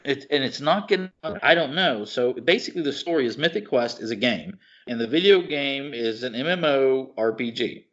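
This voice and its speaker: Male podcast co-host, mid-pitched, informal and enthusiastic, wandering delivery with halting word searches, slightly lo-fi sound. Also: lively intonation, a bit bright. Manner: rambling, halting, searches for words. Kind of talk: casual chat about films and TV shows. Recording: slightly dull, lo-fi podcast audio.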